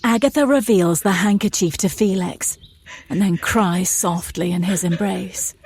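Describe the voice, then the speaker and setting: A woman with a husky voice, narrating an audio drama as scripted scene description. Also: mid-range voice, a little slow, darkish tone.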